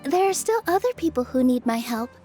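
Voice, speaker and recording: A gentle young fairy who speaks softly and kindly in a very high voice. Light game music plays under her. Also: sing-song, fairly quick.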